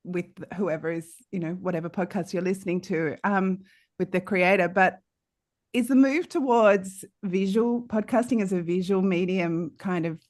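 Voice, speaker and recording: A woman's voice: lowish voice, moderate pace, a little mellow. A woman in a conversational panel discussion, asking questions tentatively and searching for her words as she goes.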